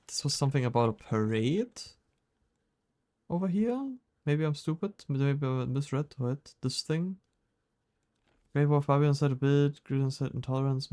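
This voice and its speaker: A man's voice: mid-range voice, darkish tone. Casual male game streamer, musing aloud. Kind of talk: casual gameplay chat, reading clues aloud.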